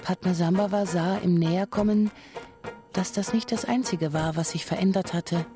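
A woman narrating a radio drama in a measured, literary manner. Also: on the low side, music in the background, close to an everyday voice.

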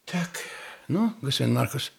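A man playing a calm, curious peacemaker with a husky voice in an audio play. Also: mid-range voice, moderate pace, exaggerated voice. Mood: firm, polite.

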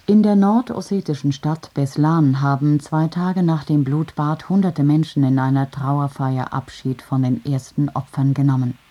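A woman's voice: low voice, mellow. A woman with a standard German accent reading scripted radio news. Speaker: radio newsreader.

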